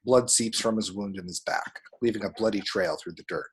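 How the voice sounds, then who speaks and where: A man's voice: on the low side, a little fast. A man reading screenplay action descriptions aloud as dramatic, vivid narration at a table read.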